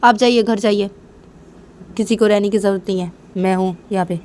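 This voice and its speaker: A woman's voice: mid-range voice, fairly even intonation, fairly quick, darkish tone, a little hiss or hum, lightly acted. A woman voicing a hot-tempered, bossy young mother in a told family story, scolding and repeating her demands. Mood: defiant, irritated.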